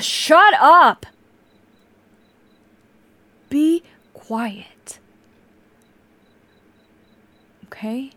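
A woman's voice: a little high, slight background noise. A woman playing a volatile, possessive young captor. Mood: irritated. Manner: drifts between soft whispers and sudden snapping. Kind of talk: audio roleplay acting.

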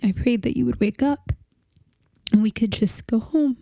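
High dark-toned young woman mourning her partner, as an actress plays her, halting and tearful, dry close sound. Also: gravelly, no room sound. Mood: grieving. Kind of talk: dramatic monologue.

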